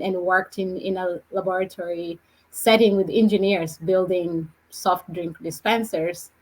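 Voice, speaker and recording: Husky woman telling her story. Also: fairly low pitch, a little mellow, low-quality recording. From Kenya. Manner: reflective, measured storytelling. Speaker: guest. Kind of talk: podcast conversation.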